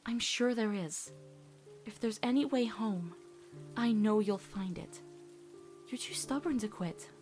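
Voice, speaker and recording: A woman voicing a gentle, steadfast teenage witch girl, speaking in a measured, reassuring way in a dramatic comic dub. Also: medium pitch, faint music behind, lightly acted.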